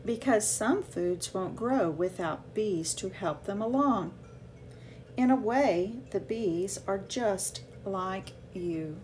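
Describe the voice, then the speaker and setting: A woman reading a children's picture book aloud with expressive, deliberate phrasing for young listeners. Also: medium pitch, a little hiss or hum. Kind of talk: read-aloud children's storytelling.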